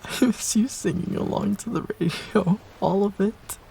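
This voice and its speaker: A man acting a heartbroken ex-boyfriend in an intimate romantic audio roleplay, pleading in a halting, breathy, emotional way. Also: high voice, dark tone, slight background noise. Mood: tearful, pleading.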